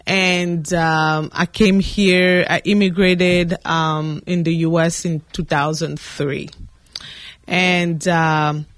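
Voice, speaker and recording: A woman from the Democratic Republic of Congo whose first language is French, answering radio interview questions earnestly with many pauses and fillers. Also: fairly low pitch, fairly even intonation, no room sound. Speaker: political candidate guest. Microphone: studio mic.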